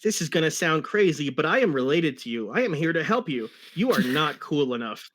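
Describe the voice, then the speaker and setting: A man's voice: a little high, sing-song, a little fast, fairly bright tone. A man voicing a cocky, brash young cartoon hedgehog hero in a boastful, blunt way.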